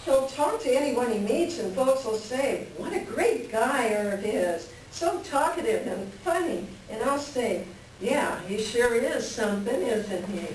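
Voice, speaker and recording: A woman's voice: fairly high pitch, expressive, measured pace, a little mellow. A woman playing a lonely, frustrated farm wife in a play reading, plain-spoken and wistful. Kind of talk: staged play reading, conversational dialogue. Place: library reader's theater reading. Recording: echoey room from far off, faint noise.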